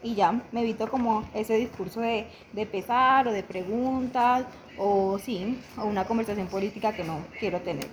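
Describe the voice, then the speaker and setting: A woman's voice: medium pitch, low-quality recording. Reflective young woman, faint noise. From Venezuela. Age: young adult. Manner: reflective, halting, with frequent restarts. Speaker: interviewee. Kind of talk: personal testimony interview.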